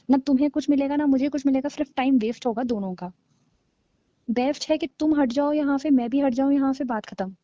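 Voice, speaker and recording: A woman's voice: high-pitched, warm tone, no echo, highs cut off, natural voice. A young woman playing a blunt, scornful girlfriend breaking up with her boyfriend in a naturalistic audio drama of a couple's call. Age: young adult. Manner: blunt, dismissive, argumentative.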